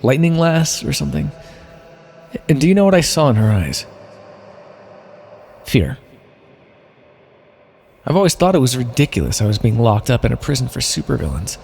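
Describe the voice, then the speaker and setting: A man's voice: medium pitch, very animated, moderate pace, gravelly, long pauses, darkish tone, husky, heavily acted. A man playing an imprisoned minor supervillain with mind powers in an ASMR roleplay, talking straight to the listener in a theatrical, rambling way. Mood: wry, scheming. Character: imprisoned minor supervillain with mind control, chatty, scheming, smug.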